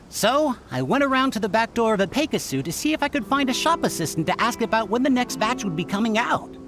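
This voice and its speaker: A man's voice: high-pitched, a bit bright. An actor playing a defensive, grudging townsman in a video game. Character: cagey, defensive townsman, grudging and self-justifying. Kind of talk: English video game voice acting. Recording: faint game music behind the voice. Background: faint video game music.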